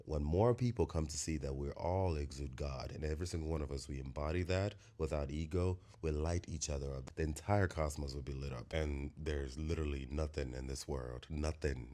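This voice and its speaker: Very deep-voiced Nigerian man. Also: dark tone. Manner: reflective, preachy, emphatic, with sudden high-pitched bursts. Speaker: host. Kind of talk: spiritual monologue.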